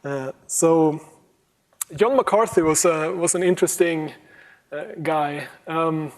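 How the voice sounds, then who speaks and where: A man giving a relaxed conference talk on computing history, with many pauses, fillers and restarts and the odd chuckle. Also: fairly high pitch. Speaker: conference speaker.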